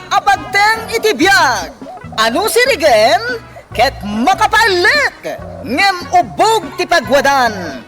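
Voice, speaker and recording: A woman chants the opening of a radio drama in a high, lively voice. She draws every line out slowly. Theme music plays clearly behind her. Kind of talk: sing-song show opening.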